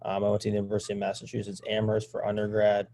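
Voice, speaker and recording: A man's voice: on the low side, monotone, rapid-fire, mellow, slight background noise. A young man from Maryland, a medical student, introducing himself casually and rambling with many fillers. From Annapolis, Maryland. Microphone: video call.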